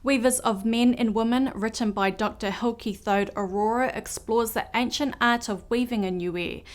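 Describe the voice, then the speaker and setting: Measured woman reading the news. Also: a little high, steady tone. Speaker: news anchor.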